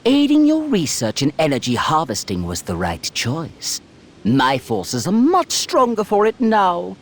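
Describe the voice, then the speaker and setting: A man's voice: a little high, huge pitch swings, a little slow, a little hiss or hum, full character voice. A man playing a haughty, mocking alien tyrant lord with pompous, menacing theatrical delivery in a video game. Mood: haughty scheming. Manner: pompous, sardonic, elaborate phrasing. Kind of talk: theatrical villain acting in game cutscenes.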